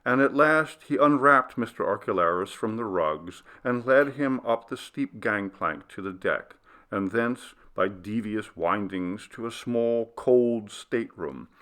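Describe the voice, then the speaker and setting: A man narrating a literary ghost story in a measured, deliberate storytelling manner. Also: on the low side, a bit bright. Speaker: audiobook reader.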